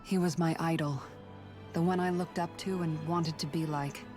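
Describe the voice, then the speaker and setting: Earnest low-voiced young guardswoman played by an actress. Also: steady tone, moderate pace, lightly acted. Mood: fond, earnest. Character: earnest young guardswoman, idealistic and devoted sister. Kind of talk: scripted video game dialogue. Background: game music.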